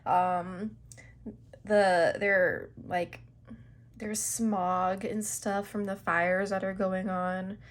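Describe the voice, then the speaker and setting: A young woman talks through her weekly planner in a slow, easygoing way. Her voice is a little stuffy, and her pitch sits mid-range. She rambles comfortably, with plenty of "um" and "like.". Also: little pitch movement. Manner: chatty, unhurried, with fillers and small laughs. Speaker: planner video creator. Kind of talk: casual planner flip-through narration.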